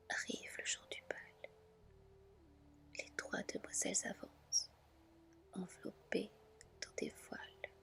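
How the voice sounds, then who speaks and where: Slow whispering woman storyteller. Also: mid-range voice, creaky. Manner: gentle, hushed, deliberate phrasing. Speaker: ASMR storyteller. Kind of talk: whispered ASMR fairy-tale reading.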